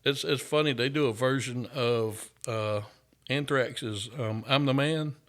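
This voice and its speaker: A man's voice: mid-range voice, measured pace, fairly bright tone. A man from the United States hosting a casual chat show, easygoing and rambling, saying "y'all". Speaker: host.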